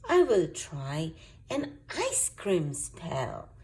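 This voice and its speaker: An eager little fairy princess, acted out by a woman reading aloud, swooping widely in pitch with a husky edge. She is excitable and kind, exclaiming in a sing-song storybook way. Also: extremely slow, full character voice.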